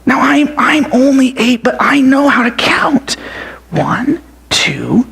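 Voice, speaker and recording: A man's voice: very high-pitched, fairly even intonation, a little slow, vocal fry, a bit bright, full character voice. A man voicing an eager, food-loving eight-year-old boy who tells his story breathlessly and whispers when he counts. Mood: excited, wondering. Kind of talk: character monologue storytelling.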